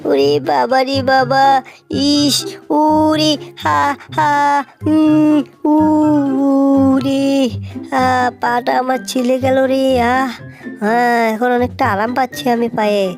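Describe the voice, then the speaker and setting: A woman with a Bangladeshi accent voicing a whiny, scolding little cartoon animal in an amateur dub, with drawn-out exclamations. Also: high-pitched, little pitch movement, darkish tone, faint music behind. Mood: pained whining.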